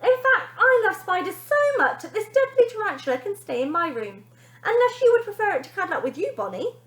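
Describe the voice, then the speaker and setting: A cheeky ten-year-old boy from a children's story, as a woman puts him on, cool and sly. He teases brightly, his pitch raised high and lively, with room echo around him. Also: brisk, full character voice. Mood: sly teasing.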